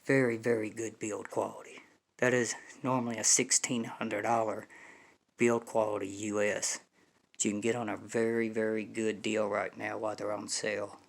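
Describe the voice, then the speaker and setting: A man's voice: lowish voice, little pitch movement, moderate pace, creaky. An American man giving a casual, folksy product review to viewers, repeating points for emphasis. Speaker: home audio equipment reviewer. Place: indoor room. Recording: echoey room, distant microphone.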